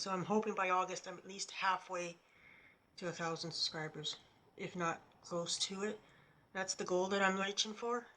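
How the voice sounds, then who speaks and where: A quiet, soft-spoken woman talking casually as she walks viewers through a craft at home. She speaks at a middling pitch, recorded straight into her computer without a separate mic. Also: a little hiss or hum. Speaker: craft vlogger. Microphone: computer's built-in mic, no external mic for voice-over.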